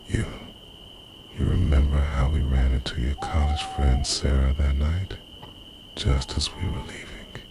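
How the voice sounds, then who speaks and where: Obsessive lover for ASMR roleplay, male actor: slow, intimate half-whisper, devoted, night insects audible behind. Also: rumbling low, creaky, noisy background, full character voice. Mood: earnest, devoted. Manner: hushed, slow, intimate, often slipping into a whisper. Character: obsessive, devoted boyfriend who secretly time-travels, confessing to lover.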